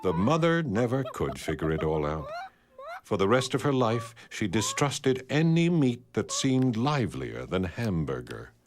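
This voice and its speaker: A man narrating an animated storybook tale in a wry, deadpan, scripted storyteller's manner. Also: fairly low pitch, a little slow. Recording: music behind the voice. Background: music.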